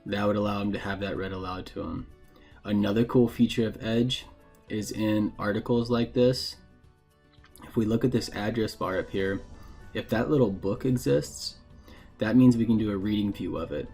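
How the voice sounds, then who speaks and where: A man narrating a casual software tutorial in a plain, informal, explanatory way. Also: lowish voice, normal speed, soft background music. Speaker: instructor giving a software tutorial.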